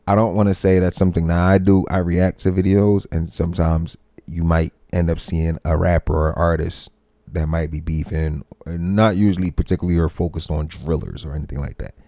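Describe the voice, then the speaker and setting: A man's voice: low voice, level tone, a little fast, mellow, no echo. A young man hosting a casual reaction video, greeting his chat in laid-back slang. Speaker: reaction channel host.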